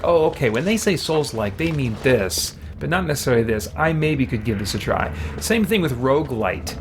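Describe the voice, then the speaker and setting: A man chatting casually as he streams a game, rambling and thinking aloud as he plays. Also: mid-range voice. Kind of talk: casual live-stream chat and game commentary. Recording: music playing behind the voice. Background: game music.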